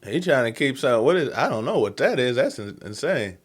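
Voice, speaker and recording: A man's voice: medium pitch, big pitch swings, fairly quick. A man giving casual, slangy reaction commentary as the host of the video. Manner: colloquial, slangy.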